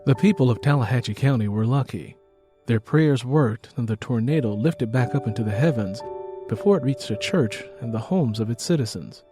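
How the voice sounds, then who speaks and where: A man's voice: medium pitch, moderate pace. A man with an American accent reading dramatic scripted audiobook narration. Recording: rain and music behind the voice.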